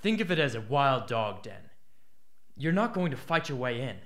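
A man's voice: mid-range voice, sing-song, moderate pace, a bit bright. An actor playing an embittered, intense young male rebel in a radio drama. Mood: persuasive.

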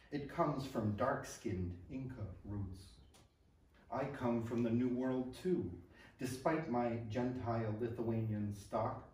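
A man's voice: mid-range voice, a little mellow. A middle-aged American man from upstate New York, speaking hesitantly with many fillers, then reading his poetry aloud at a library event. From upstate New York, USA. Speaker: poet and translator. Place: library hall, indoors. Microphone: room mic from a distance. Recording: echoey room, distant mic.

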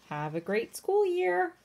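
A woman reading a children's picture book aloud in an expressive, sing-song, emphatic way, with chatty asides to young listeners. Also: very high-pitched, vocal fry, a little hiss or hum. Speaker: read-aloud storyteller.

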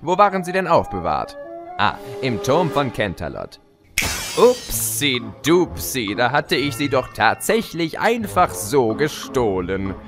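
A man playing a gleeful, scheming chaos creature who narrates his escape in an audio drama, smug and playful. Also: fairly low pitch. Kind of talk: theatrical first-person audio drama narration. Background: music.

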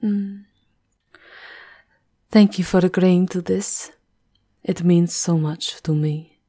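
Woman acting a slow, sultry vampire countess. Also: lowish voice, mellow. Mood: doting, imperious. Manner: sultry, intimate, aristocratic. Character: seductive, possessive vampire countess lover. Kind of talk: ASMR roleplay.

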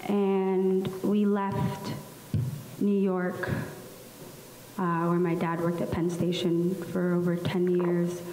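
A young woman from Somalia speaks into a handheld mic at a public panel, telling her own story at an unhurried pace, full of ums and pauses. Her voice carries a roomy echo with a long reverb tail from the large hall, over a light hiss. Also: lowish voice, completely flat delivery. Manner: slow, many ums, soft laughs. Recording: echoey hall with faint hiss.

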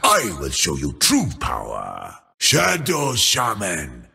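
An actor playing a grandiose, menacing troll shadow priest in a video game, proclaiming slowly and theatrically with a gravelly, raspy voice. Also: male voice, low voice, wildly swooping pitch, faint music behind, full character voice. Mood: boastful. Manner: proclaiming, theatrical and slow, often shouting.

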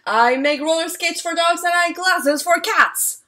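A woman's voice: very high-pitched, a little slow, bright, forceful tone, pitched above the performer's own voice, full character voice. A woman reading a storybook aloud as a strange, eager travelling tinkerer man with a singsong, street-crier delivery. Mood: singing, proud.

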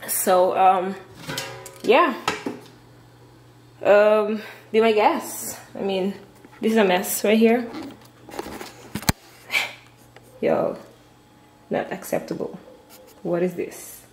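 A woman of 24 from Aruba chatting casually to camera in a halting way as she vlogs at home. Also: mid-range voice. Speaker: vlogger. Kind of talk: casual vlog chat to camera. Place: indoors at home, hard-walled kitchen and rooms. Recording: echoey room, some background noise.

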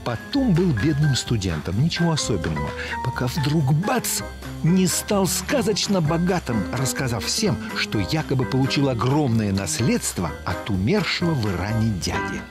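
A male documentary narrator with a lively, swinging delivery that rises and falls dramatically. His voice is mid-pitched and sits over a loud music bed throughout. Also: moderate pace. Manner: expressive, dramatic storytelling delivery. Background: background music.

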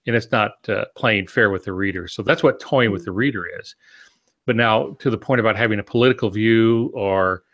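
An older man in his sixties with a fairly low voice talks through ideas as a podcast co-host. He explains conversationally, in a measured way, often pausing mid-phrase. He is on a video call from a home office. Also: a bit bright. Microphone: video call with earphones.

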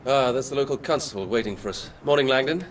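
An actor playing an earnest, dutiful English police inspector in a radio drama, respectful and matter-of-fact. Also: male voice, mid-range voice, fast talker, slight background noise, close to an everyday voice.

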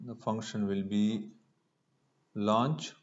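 A man giving a step-by-step screencast programming tutorial, halting as he thinks aloud while typing. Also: on the low side, fairly even intonation. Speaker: programming instructor. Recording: dull lo-fi mic with noise.

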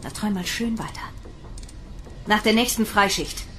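A woman's voice: mid-range voice, steady tone, moderate pace, fairly bright tone, a little hiss or hum, natural voice. A woman playing a harsh, domineering female sergeant in a German science fiction radio drama, barking and mocking with sarcastic scorn. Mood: scornful.

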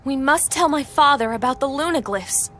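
High-voiced, earnest young princess. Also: female voice, lively intonation, normal speed, fairly bright tone, soft background music. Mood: alarmed. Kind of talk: video game voice acting.

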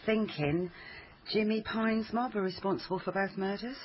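A woman's voice: medium pitch, fairly even intonation, normal speed, muffled recording, background noise, lightly acted. A woman playing a level-headed, persistent police officer in a naturalistic radio crime drama. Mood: matter-of-fact. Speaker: actor.

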